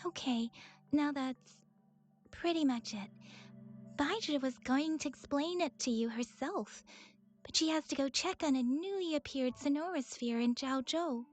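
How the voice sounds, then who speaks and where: High-voiced, composed young woman, patiently explaining. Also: soft background music. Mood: earnest, explanatory. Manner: patient, explanatory, measured phrasing. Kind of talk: scripted video game dialogue.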